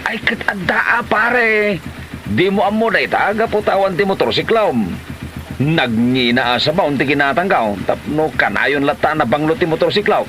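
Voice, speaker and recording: A man with an Ilocano Filipino accent playing a dramatic, oblivious, motorcycle-obsessed husband in a comedy drama, with drawn-out exclamations. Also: a little high, lively intonation, a bit bright, slight background noise. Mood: proud. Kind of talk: Ilocano comedy radio-style drama acting.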